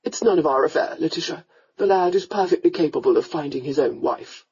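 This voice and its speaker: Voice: medium pitch, brisk, darkish tone, muffled recording. A narrator doing a curt, domineering old earl. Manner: curt, gruff, dismissive. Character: stern, domineering older male earl, a father.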